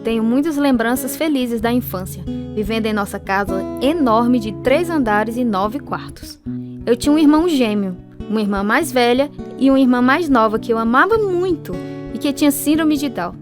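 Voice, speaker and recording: A woman's voice: a little high, lively intonation, normal speed, over music, natural voice. An actress playing a woman who wistfully narrates her own childhood in a Brazilian radio drama. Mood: wistful, reflective.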